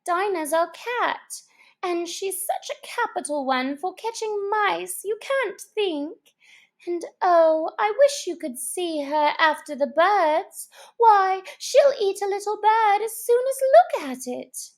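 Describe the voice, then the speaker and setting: A high, lilting little girl from a storybook, played by a woman. She is polite, earnest and naive, with a pleading edge to her words. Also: expressive, takes it slowly, full character voice. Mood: eager.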